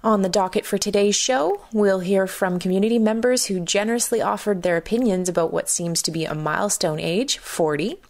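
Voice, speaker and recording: Gravelly woman hosting a radio show. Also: medium pitch, a little mellow. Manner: measured, explanatory delivery. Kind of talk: scripted radio narration.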